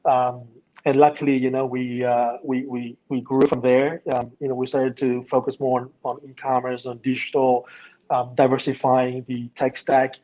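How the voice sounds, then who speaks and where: Middle-aged man from Vietnam, agency founder, halting interview answers, muffled lo-fi mic. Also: mid-range voice, level tone, warm tone. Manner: hesitant, many ums and you-knows. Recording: dull lo-fi sound.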